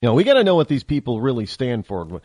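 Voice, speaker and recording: A male radio host with a faint upstate New York accent talks in a loose, digressive way, often circling back and restarting his sentences. His pitch swings up and down a lot, and the studio sound is close and dry. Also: big pitch swings, fast talker, darkish tone, no room sound. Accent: central upstate New York. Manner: rambling, digressive, many restarts. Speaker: radio talk show host. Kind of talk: casual call-in radio talk.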